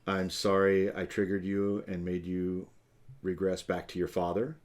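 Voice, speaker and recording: A man co-hosting a relationship talk show, with a booming voice, speaking slowly and deliberately as he mirrors back what his partner said. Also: monotone, darkish tone. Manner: slow, deliberate mirroring with fillers. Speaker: co-host. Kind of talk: live relationship talk show, role-play of a conflict repair.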